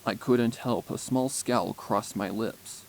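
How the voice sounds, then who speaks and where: A man's voice: lowish voice, slow, vocal fry, slight background noise, poor audio. A man reading scripted first-person fan fiction as a story narrator.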